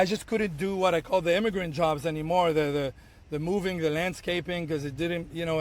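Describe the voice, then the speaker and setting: A man in his thirties, Arabic his first language, talks in a lively, storytelling way, acting out his own past lines with many restarts. His voice sits a little higher than most men's. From Tunisia. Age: 30s. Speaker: bartender guest. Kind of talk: casual podcast conversation.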